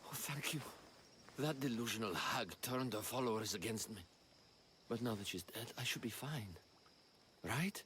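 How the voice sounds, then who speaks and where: An actor playing an anxious, dramatic stage performer in a video game, earnest and uneasy in manner. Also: male voice, medium pitch, normal speed, pauses often, pushed and bright, a little hiss or hum, close to an everyday voice. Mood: relieved, unsure. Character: anxious, rattled stage actor craving godlike confidence. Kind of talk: video game cutscene acting.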